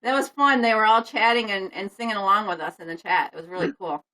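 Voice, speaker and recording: A woman with a high-pitched voice, just woken up, chatting and laughing on a karaoke livestream, often away from the mic. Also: quick pace, pushed and bright, poor audio. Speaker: karaoke co-host. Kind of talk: casual chat on a livestream.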